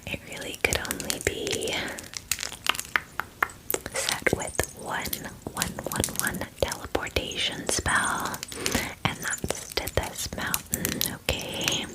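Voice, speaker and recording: A woman's voice: slow pace, natural voice, low-quality recording. A woman voicing a friendly, doting female dragon in a whispered ASMR fantasy roleplay, with gushing, hesitant, filler-heavy chatter. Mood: earnest, reassuring. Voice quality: breathy whisper.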